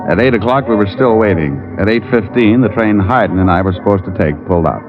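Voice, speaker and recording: An actor playing a wry, laconic hard-boiled detective in an old radio noir drama. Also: male voice, fairly low pitch, animated, fast talker. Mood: wry narrating. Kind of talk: 1940s-50s radio noir acting and narration. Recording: muffled vintage radio recording, organ music.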